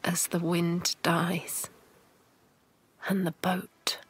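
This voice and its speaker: A woman acting a weary, tender mother adrift at sea in an audio drama, with a hushed, breathy delivery. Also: on the low side, level tone, extremely slow, full character voice. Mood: hushed dread. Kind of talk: audio drama dialogue and first-person narration.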